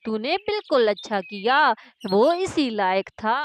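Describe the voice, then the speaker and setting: A woman's voice: fairly high pitch, sing-song, measured pace, slight background noise. A woman voicing a brash, scolding mother crow who defends her son in a children's cartoon. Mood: smug, scornful. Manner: scolding, dismissive. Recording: music behind the voice.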